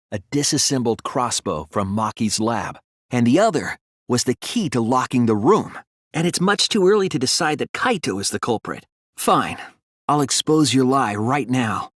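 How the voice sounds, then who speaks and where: A young male detective in a dramatic English game dub. His medium-pitched voice moves expressively as he reasons with earnest, quiet resolve. The delivery is articulate and deliberate, recorded completely dry. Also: no echo. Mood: grave, subdued. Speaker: video game voice actor.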